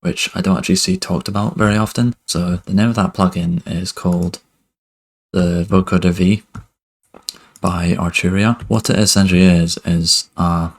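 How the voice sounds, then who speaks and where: A young man giving a relaxed music production tutorial at his desk, talking loosely with lots of fillers. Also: low voice, normal speed. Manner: relaxed, many fillers like "kind of" and "basically". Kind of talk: casual screen-recorded tutorial.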